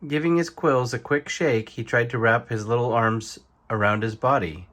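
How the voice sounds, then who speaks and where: A man reading a children's bedtime story aloud in an expressive narrating style. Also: medium pitch, normal speed. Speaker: storybook reader.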